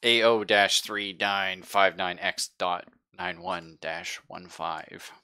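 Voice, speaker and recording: A man's voice: deep voice, big pitch swings, takes it slowly, creaky, pushed and bright, clear, resonant tone. A man talking his way through an improvised tabletop roleplaying session.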